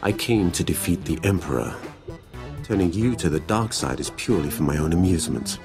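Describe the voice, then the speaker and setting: A very low-pitched, ancient Sith lord from a video game, proud and grim. He speaks formally and solemnly, with cold disdain, over background music. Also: animated, fairly quick, vocal fry. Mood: scornful. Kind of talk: dramatic video game voice acting.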